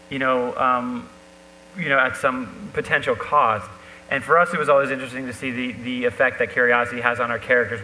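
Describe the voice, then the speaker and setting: A man discussing ideas on stage as a guest, thoughtful and halting as he circles toward his point. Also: mid-range voice, bright, forceful tone. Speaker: panel guest, novelist. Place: live talk event hall. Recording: reverberant hall with noise and hum.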